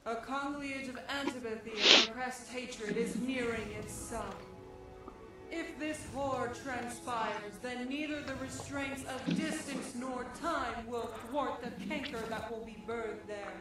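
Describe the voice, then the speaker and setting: A cryptic prophetess voiced by a woman speaks in a fairly high, grave, measured voice, full of ornate foreboding for a fantasy game. She sounds distant and drenched in echo, with music behind her. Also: close to an everyday voice. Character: solemn, cryptic female oracle prophesying doom. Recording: heavily echoing, distant, under music.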